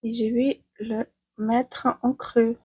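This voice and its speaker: A young woman with a Ukrainian accent talks her way through a makeup tutorial, often pausing with fillers and restarting words. Her voice sits fairly high and sounds slightly husky and breathy. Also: flat delivery, warm tone. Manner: hesitant, many fillers and restarts while applying makeup. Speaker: beauty vlogger.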